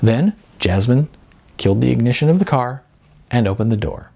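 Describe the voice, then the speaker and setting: A man narrating a scripted story as an audiobook reader, with a gravelly, husky voice. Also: medium pitch, expressive, normal speed, darkish tone.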